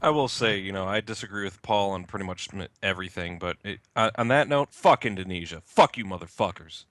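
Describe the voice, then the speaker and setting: A man's voice: deep voice, fairly quick, a bit bright, dry recording. A man in casual group banter, heckling with sarcasm and plenty of swearing.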